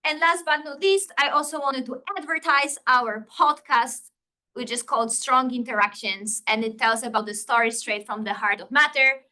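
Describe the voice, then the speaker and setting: A woman with a Polish accent gives a physics lecture to students, speaking eagerly and often stopping to restart her sentences. Her voice sits a little high and rings very bright. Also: moderate pace. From Poland. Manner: enthusiastic, many fillers and restarts. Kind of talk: academic lecture. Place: lecture hall.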